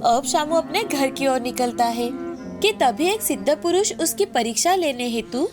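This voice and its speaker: A woman's voice: high voice, expressive, normal speed, over music. A woman narrator reading a scripted moral tale in storytelling style.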